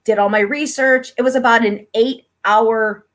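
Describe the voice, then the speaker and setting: A woman's voice: fairly high pitch, fairly even intonation, measured pace, fairly bright tone. Chatty upbeat woman host. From Pennsylvania, USA. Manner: chatty, upbeat, laughs often. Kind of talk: casual chat interview.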